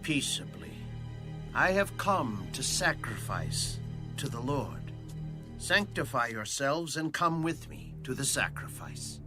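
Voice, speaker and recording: A man's voice: medium pitch, expressive, takes it slowly, over music. A man playing a stern, grave prophet in a dramatized audio Bible, declaiming his lines. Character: Samuel, stern, grave male prophet of ancient Israel.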